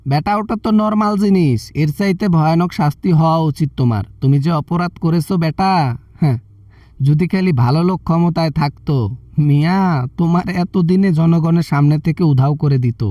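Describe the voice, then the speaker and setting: পুরুষের অভিনয়ে চাপা সুরের, ধমকানো বাংলাদেশি সাংসদ. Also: male voice, fairly high pitch, fairly quick, dark tone, dry recording, studio quality, recorded from a distance, lightly acted. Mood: stern, threatening. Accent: Bangladeshi. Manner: berating, repeatedly addressing the listener condescendingly. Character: scolding, threatening, self-righteous male member of parliament. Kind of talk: comic prank-call skit.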